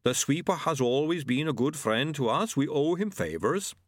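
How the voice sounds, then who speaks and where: A man plays a large, placid storybook yeti, obliging and matter-of-fact. The voice swings freely in pitch and sits close and dry. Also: animated, moderate pace, no room sound, lightly acted. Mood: unbothered.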